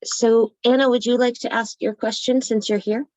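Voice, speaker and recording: A woman's voice: fairly high pitch, little pitch movement, normal speed, a little mellow, dry recording, lo-fi sound. A woman chairing a student association meeting, calling roll and introducing questions in a casual, informal way. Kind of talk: running a meeting.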